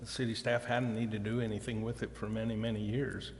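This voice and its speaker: A man speaking as a council member in formal debate, with deliberate, careful phrasing. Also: fairly low pitch, level tone, darkish tone. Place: indoor council chamber. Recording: reverberant meeting chamber with background noise.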